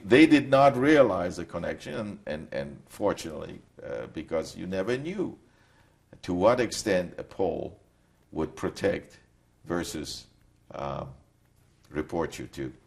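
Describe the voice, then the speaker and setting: An older man from Poland, giving a reflective, halting recorded testimony in an interview. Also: lowish voice, vocal fry.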